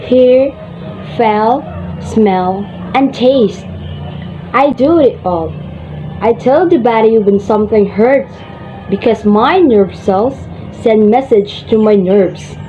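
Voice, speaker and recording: A woman's voice: on the high side, warm tone. A woman playing a boastful, self-important nervous system in amateur reader's theater, reciting scripted lines in a dull lo-fi recording. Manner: boastful, deliberate. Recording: dull lo-fi sound. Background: music.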